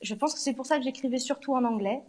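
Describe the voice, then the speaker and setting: Woman singer, guest interview at a venue; slightly high, hesitant, many fillers. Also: fast, a little mellow. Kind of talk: live interview on location. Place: arts centre venue.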